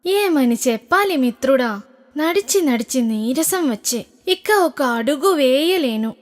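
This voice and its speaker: A woman's voice: high voice, expressive, slow pace. A woman voicing a sly, lazy fox with a whiny, weary manner for a children's cartoon story. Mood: weary, complaining. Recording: music behind the voice.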